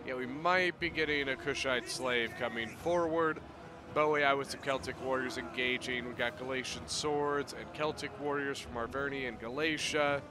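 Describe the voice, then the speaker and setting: A man casting a strategy game battle play-by-play in a chatty, excitable way, his voice a little off as he gets over being sick. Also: mid-range voice, moderate pace. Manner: chatty, excitable, bursts of loud exclamation. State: voice a little off from recent illness. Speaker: game commentator.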